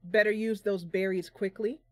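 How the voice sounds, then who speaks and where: A woman's voice: medium pitch, fairly even intonation, moderate pace, darkish tone, no room sound, studio quality. A woman giving casual gameplay commentary, chatting to viewers and thinking aloud as she goes. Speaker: gaming channel host. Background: game music.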